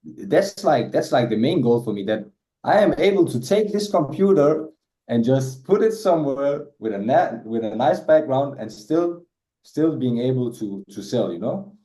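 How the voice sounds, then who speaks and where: A man's voice: medium pitch, sing-song, normal speed, mellow, roomy sound, rough recording. A man whose first language is Danish, a guest in a casual interview, talking hesitantly with many repeated words and restarts. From Denmark.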